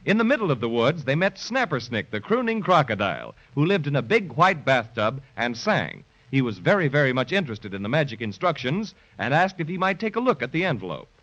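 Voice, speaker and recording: A man's voice: mid-range voice, sing-song, a little fast, hardly any pauses, fairly bright tone. A man narrating a children's story in 1930s radio storytelling style. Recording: muffled vintage 1930s radio transcription.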